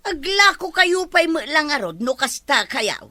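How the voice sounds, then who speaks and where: A woman's voice: high voice, very animated, unhurried, pushed and bright, no echo, heavily acted. A woman playing a wheedling, complaining middle-aged villager in a comedy drama, with an Ilocano accent. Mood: pushy. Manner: complaining, pushy. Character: wheedling older village woman who borrows and grumbles.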